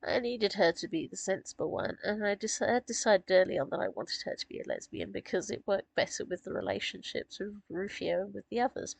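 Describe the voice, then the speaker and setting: A woman talks in a relaxed, rambling way, her voice croaky and gravelly from a sore throat. It has a breathy, husky edge, and she is recorded close and dry with no room sound around her. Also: medium pitch, brisk, hardly any pauses, no room sound, low-quality recording. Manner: chatty, rambling with fillers and small laughs. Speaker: author discussing her characters. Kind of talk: casual chat.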